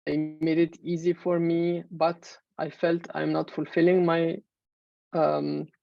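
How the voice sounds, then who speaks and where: A woman in her thirties from Egypt, a native Arabic speaker, giving a measured presentation in an online webinar with many fillers. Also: low voice, flat delivery, vocal fry, no room sound. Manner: measured, explanatory, frequent fillers. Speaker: main speaker. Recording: dull, muffled webinar audio.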